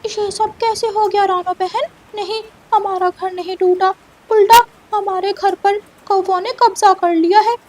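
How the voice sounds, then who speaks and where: A woman's voice: very high-pitched, fairly even intonation, a little slow, a little mellow, voice pushed up. A woman voicing a naive, worried little parrot with a plaintive manner in a children's cartoon. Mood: worried, pleading. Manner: plaintive, fretful. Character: naive, worried married parrot couple member, small bird.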